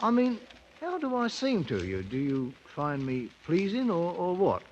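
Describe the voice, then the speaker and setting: A brash British adventurer with a high voice that leaps up and down as he blusters and gives orders. He talks in soldier's slang, boastful as a self-made king, on a noisy old 1950s radio broadcast. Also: very animated, unhurried, lo-fi sound. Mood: curious, gruff. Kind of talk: 1950s radio drama acting.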